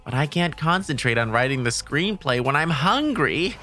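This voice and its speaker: Lively bright narrator over music. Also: medium pitch, normal speed. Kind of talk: first-person scripted narration for a romantic comedy manga dub.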